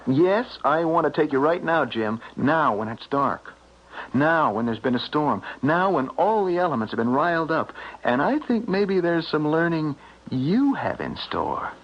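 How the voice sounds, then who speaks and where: A man's voice: a little high, very animated, measured pace. An actor playing a superstitious, stubborn and lovestruck young small-town man in a 1970s radio drama, speaking plainly and emphatically. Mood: insistent, challenging. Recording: dull, noisy old radio broadcast recording.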